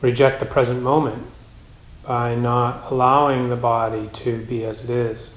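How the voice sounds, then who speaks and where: A man's voice: medium pitch, level tone. Man guiding meditation in an echoey room. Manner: measured, gentle instruction with long pauses. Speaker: meditation teacher. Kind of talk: guided meditation instruction. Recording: roomy, echoing space.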